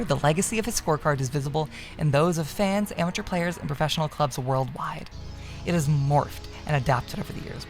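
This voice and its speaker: A woman playing a scholarly narrator in a visual novel, reading scripted narration in a measured, formal way. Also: on the low side, gravelly.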